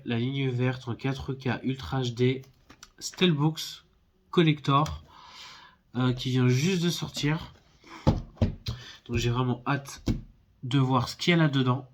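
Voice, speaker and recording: A man's voice: medium pitch, a little mellow, a little hiss or hum. Hesitant man talking through a cold. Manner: hesitant, lots of filler sounds, friendly. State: stuffy with a head cold. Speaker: film collector YouTuber. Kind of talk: casual unboxing video.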